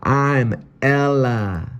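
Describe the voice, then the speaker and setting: A man's voice: mid-range voice, drawn-out words, fairly bright tone, clear, resonant tone, voice pushed up. A man voicing a menacing young woman who guards a window and threatens strangers. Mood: guarded. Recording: slightly dull lo-fi audio.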